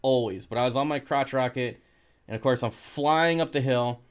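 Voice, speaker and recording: An American man speaks at an average male pitch in an informal, laid-back style. He sounds like a livestream host, reading out comments and replying to them in a jokey, conversational way. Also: moderate pace, clear, resonant tone. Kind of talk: casual livestream chat.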